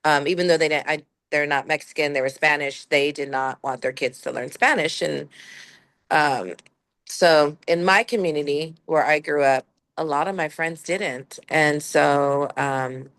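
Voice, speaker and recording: A woman's voice: sits low, measured pace, a bit bright, no room sound. A woman in her fifties from Southern California, telling a rambling personal story with laughs between thoughts. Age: 50s. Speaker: panel guest.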